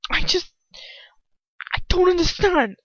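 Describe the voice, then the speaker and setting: A woman voicing a furious, betrayed young man in a story, shouting and stammering in disbelief. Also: very high-pitched, animated, slow, dry recording, dull audio, voice pushed up, heavily acted. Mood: incredulous. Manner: shouting, incredulous, breaking into stammers.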